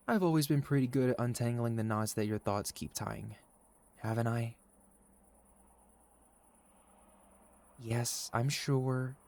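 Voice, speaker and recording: A man playing a gentle, caring young boyfriend in an intimate ASMR roleplay, speaking softly and sincerely to an anxious partner. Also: mid-range voice, natural voice. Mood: concerned, coaxing. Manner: soft, sincere, tender, halting when worried.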